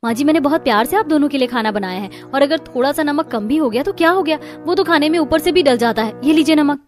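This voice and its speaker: A woman's voice: high voice, lively intonation, fast, faint music behind. A woman voicing a boastful, pampered eldest daughter-in-law in a cartoon story. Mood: defensive.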